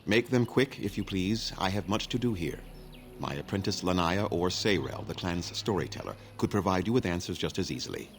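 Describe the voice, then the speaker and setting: An actor playing a grave, weary elven clan leader in a fantasy video game, speaking formally and solemnly in measured phrases. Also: male voice, low-pitched, sing-song, faint music behind. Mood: curt, busy. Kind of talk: scripted video game dialogue.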